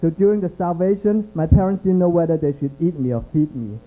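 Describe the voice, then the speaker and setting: Giggly high-voiced man hosting comedy. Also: expressive. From Vietnam. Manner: laughs at his own jokes, halting with restarts and throat-clearing. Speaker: comedy show host. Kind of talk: stand-up host banter. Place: small comedy club. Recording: tinny lo-fi live recording.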